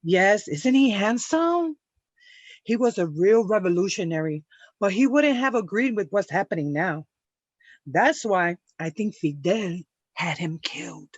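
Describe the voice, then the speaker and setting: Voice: mid-range voice, expressive, measured pace. A reader voicing a chatty, rebellious young girl who confides secrets, in a play table read. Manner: gossipy, confiding. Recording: dull lo-fi audio.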